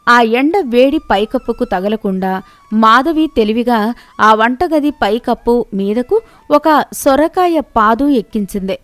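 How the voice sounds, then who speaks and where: A woman storyteller narrates a scripted moral tale. Her voice is on the high side, and the recording is close and dry. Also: no room sound.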